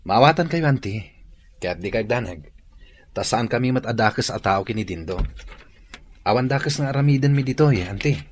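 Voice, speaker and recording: A man's voice: medium pitch, expressive, normal speed, long pauses, natural voice. A man playing a polite young Ilocano nephew. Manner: polite, reassuring. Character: respectful young nephew, reassuring. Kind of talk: Ilocano radio drama acting.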